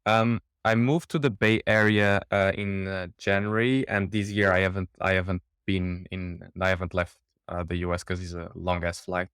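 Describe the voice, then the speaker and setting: A man from Portugal with a low, resonant voice talks through interview questions in an easygoing, halting way. He restarts often and leans on fillers, and the sound is close and dry. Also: level tone, fairly bright tone, clean tone, no room sound. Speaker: startup cofounder, guest. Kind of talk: casual interview answers.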